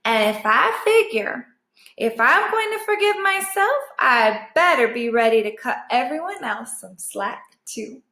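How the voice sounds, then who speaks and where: A woman's voice: high voice, animated, a little slow, pushed and bright, exaggerated voice. A woman voicing a weary, wise and easygoing female dog in a storybook, wry and matter-of-fact. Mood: weary, forgiving. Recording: echoey room.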